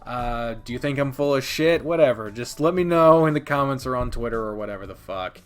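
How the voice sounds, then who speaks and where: A man giving a casual, unscripted video review as host, speaking emphatically and theatrically with dramatic pauses. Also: medium pitch, clean tone. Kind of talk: casual scripted-free game review commentary.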